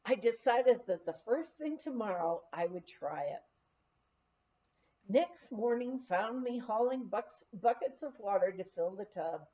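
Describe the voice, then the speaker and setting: A woman reading a humorous memoir aloud as an expressive, animated storyteller. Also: fairly high pitch, unhurried, lo-fi sound. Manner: animated storytelling with acted dialogue. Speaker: audiobook reader.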